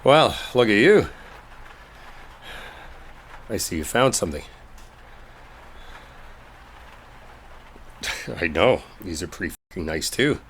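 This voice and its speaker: A man's voice: on the low side, sing-song, measured pace, fairly bright tone, a little hiss or hum, exaggerated voice. A man acting a brash, exasperated young coworker in an intimate roleplay audio, speaking casually with sarcasm and swearing. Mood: relieved, impressed.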